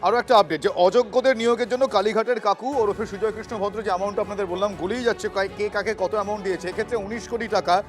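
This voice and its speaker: A high-pitched male news anchor speaks over a clear music bed. He delivers live commentary at a quick clip, emphatically repeating key phrases. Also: fairly even intonation.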